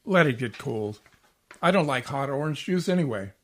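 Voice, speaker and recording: A man's voice: mid-range voice, lively intonation, moderate pace, fairly bright tone. Man acting a grumpy henpecked 1940s radio husband. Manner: sarcastic, curt grumbling. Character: grumpy, exasperated, henpecked husband, a struggling salesman. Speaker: community theatre actor.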